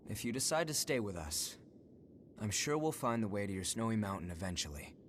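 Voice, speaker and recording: An actor playing a calm, thoughtful young male leader in a video game, with a measured, composed delivery. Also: lowish voice, a little hiss or hum. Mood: composed, welcoming. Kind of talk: English video game dub acting.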